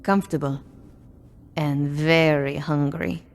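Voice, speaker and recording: A woman's voice: sits low, fairly even intonation, slow pace, slight background noise. Blunt, tough female gang boss. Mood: wry, weary. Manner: blunt, sardonic, commanding. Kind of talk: video game cutscene acting.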